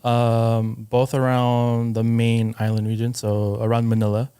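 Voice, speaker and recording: A man from Southern California with an American accent, explaining things informally as a podcast guest in a thoughtful, halting way. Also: medium pitch, completely flat delivery, warm tone, clear, resonant tone. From Southern California, United States. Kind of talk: casual conversational explaining.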